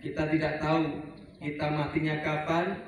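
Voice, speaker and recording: A man from Surabaya preaching a relaxed Eid sermon to a housing community, rambling at times and mixing in Arabic prayer phrases. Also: fairly high pitch, normal speed, slight background noise. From Surabaya, Indonesia. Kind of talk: Eid sermon to a residential congregation. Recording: very echoey, heard from a distance over a PA.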